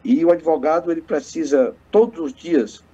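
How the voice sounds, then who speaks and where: A man's voice: a little high, normal speed, mellow, breathy edge. A man in his thirties from Piauí, Brazil, a lawyer speaking earnestly and formally on a video call from his office, often restarting his phrases. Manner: earnest, speechlike, frequent hesitant restarts. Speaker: lawyer and guest. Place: office with bookshelves, indoors. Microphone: webcam on video call. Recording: muffled video call audio.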